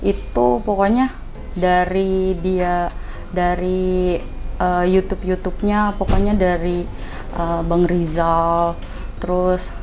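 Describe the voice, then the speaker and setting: A woman of 25 answering as an interview candidate, halting and searching for her words. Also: on the low side, level tone, a little mellow, faint music behind. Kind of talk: self-introduction and interview answers.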